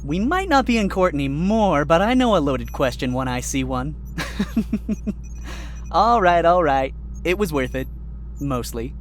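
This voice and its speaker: A woman's voice: deep voice, sing-song, measured pace, a little hiss or hum. A woman voicing a wry male fox, a former con artist turned lawyer, in a fan audio drama. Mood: teasing. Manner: sardonic, teasing.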